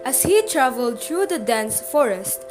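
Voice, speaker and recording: Radio play narrator: woman, high-pitched, scripted storytelling, clear music bed. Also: sing-song, normal speed.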